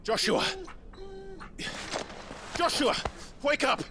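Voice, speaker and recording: Slow-spoken, resolute hero. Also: male voice, very high voice, big pitch swings, background noise, full character voice. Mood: desperate. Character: resolute heroic warrior leader, man, earnest and intense. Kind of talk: dramatic fantasy game voice acting. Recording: loud music over dialogue.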